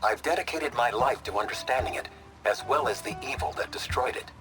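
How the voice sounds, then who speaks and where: An actor playing a self-regarding scholar and DJ in a comic video game, grandiose, wordy and dry. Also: male voice, medium pitch, brisk, close to an everyday voice, poor audio. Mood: dry, knowing. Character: pompous, self-regarding male scholar and showboating DJ. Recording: music behind the voice.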